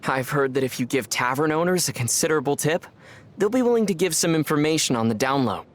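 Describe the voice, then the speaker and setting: An actor playing a calm, dry young male traveler from other worlds in a video game. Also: on the high side, fast talker, natural voice. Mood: wry. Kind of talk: video game dub dialogue. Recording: game audio with soft music behind.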